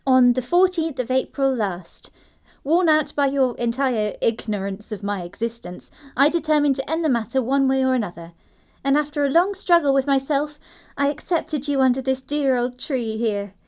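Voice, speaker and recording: Woman as a high-voiced, prim young girl. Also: animated, normal speed, a little mellow. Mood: dreamy, matter-of-fact. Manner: precise, airy, theatrical. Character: prim, dreamy, self-assured young society girl. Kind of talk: audio drama acting of a classic comedy.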